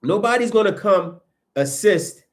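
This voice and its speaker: A man hosting a livestream, preaching in a casual, animated way and answering viewer comments as he goes, with a sniffly nose. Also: high voice, a little slow. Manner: animated, preachy, rises sharply when emphatic. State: sniffling from sinuses. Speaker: livestream host.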